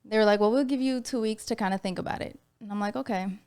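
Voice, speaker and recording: A young woman talking softly and easily as a podcast guest, telling her story in a laid-back way. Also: medium pitch, fast, clear, resonant tone. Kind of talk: casual conversation.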